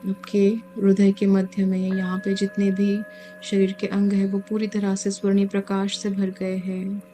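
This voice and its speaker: A woman leading a guided meditation as a teacher, speaking calmly in short, measured phrases with pauses. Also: medium pitch, slight background noise. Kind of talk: guided meditation, slow soothing instruction. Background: music.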